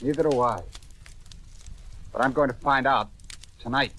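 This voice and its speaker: A man's voice: medium pitch, normal speed, fairly bright tone, natural voice. A man playing a defiant, indignant young boyfriend in an old-time radio drama. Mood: frustrated, insistent. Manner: insistent, indignant.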